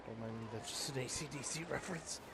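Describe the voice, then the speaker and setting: A man's voice: mid-range voice, quick pace, creaky, very noisy. A man giving casual, wisecracking commentary while playing a game. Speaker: gaming video host.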